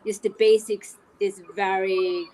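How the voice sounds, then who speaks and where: A woman in her thirties speaks English with a Swedish accent. She chats casually as a guest at a medium pitch, with some ambient noise behind her. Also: level tone, slow pace, dark tone, rough recording. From Sweden. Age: 30s. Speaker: interview guest, equestrian athlete. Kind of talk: casual interview chat.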